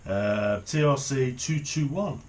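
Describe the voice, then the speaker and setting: A middle-aged man giving casual unboxing commentary, thinking aloud in an unhurried way as he handles things at a desk in a small room. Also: mid-range voice. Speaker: hobbyist reviewer. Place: small home office, indoors.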